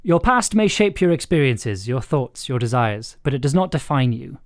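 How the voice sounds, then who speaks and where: A man reading scripted audio drama narration aloud in a measured, earnest way. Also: medium pitch, animated, no echo, clean studio sound.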